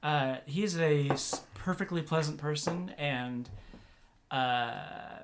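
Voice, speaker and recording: A woman's voice: sits low, takes it slowly, noisy background. A woman host from Oklahoma on a livestream, talking in a rambling, hesitant way with many restarts. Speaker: streamer host. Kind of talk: casual livestream chat.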